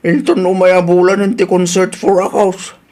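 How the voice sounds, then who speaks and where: An elderly father acted by a man with a somewhat high voice for a man. He is caring and concerned, talking in the manner of an Ilocano radio drama. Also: level tone, moderate pace, a little mellow.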